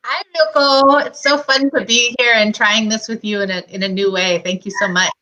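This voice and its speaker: A woman's voice: fairly high pitch, fairly even intonation, moderate pace, bright, forceful tone, rough recording. A woman speaking as a guest on a video call, casually showing and explaining things. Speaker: workshop guest. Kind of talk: casual show-and-tell.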